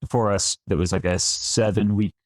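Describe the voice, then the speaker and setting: Man, thirties, from upstate New York, very deep voice, chatty, self-deprecating, dry close sound. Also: steady tone, moderate pace, dry recording. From Finger Lakes region of New York. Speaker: winemaker guest. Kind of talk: conversational podcast interview.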